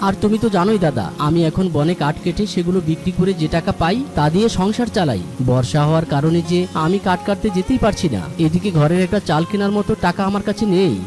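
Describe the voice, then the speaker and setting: Cartoon father bird male actor, earnest and explanatory, high voice, rapid delivery. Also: music in the background, lightly acted. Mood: pleading. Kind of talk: Bengali cartoon voice acting.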